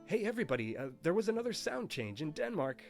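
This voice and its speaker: A man's voice: high voice, very animated, quick pace, vocal fry. A man narrating a scripted history of a language in a playful, wry storytelling manner. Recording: narration with faint background music.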